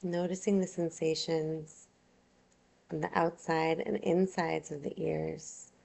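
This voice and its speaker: A woman teaching yoga nidra, guiding a relaxation meditation in a soothing, unhurried, gentle way. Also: sits low, steady tone, vocal fry.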